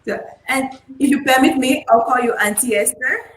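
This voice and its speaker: A young woman from Cameroon with a slightly high voice co-hosts an interview from an echoey room. She talks effusively and heaps praise on her guest. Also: normal speed, slight background noise. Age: young adult. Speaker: co-host. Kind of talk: casual interview chat.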